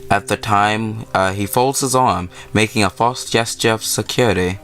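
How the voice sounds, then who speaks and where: A man's voice: on the low side, normal speed, fairly bright tone, soft background music. A man hosting a gaming video, reading a visual novel aloud and adding casual, informal asides.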